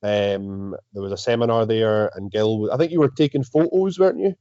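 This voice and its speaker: Lowish-voiced Scottish man, halting interviewer. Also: mellow, no echo, lo-fi sound. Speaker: host, strength coach. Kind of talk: casual interview chat.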